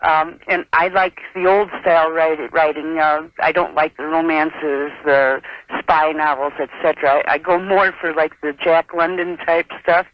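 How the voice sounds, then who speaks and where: A woman's voice: sits low, fairly even intonation, moderate pace, dry recording. An American woman calling a radio show, chatting and rambling as she tells her story over the phone. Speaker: caller. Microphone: telephone. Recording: dull lo-fi telephone call on radio.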